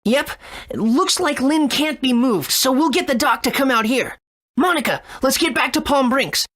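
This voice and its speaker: Lively young boy hero Max. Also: high-pitched, normal speed. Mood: earnest. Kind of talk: video game character acting and narration.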